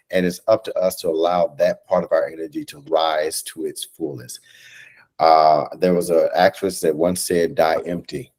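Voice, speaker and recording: Casting: male interview guest, 30s, lowish voice, motivational, measured and emphatic. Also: darkish tone. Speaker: guest, stage play director. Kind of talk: casual interview talk, motivational. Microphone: video call.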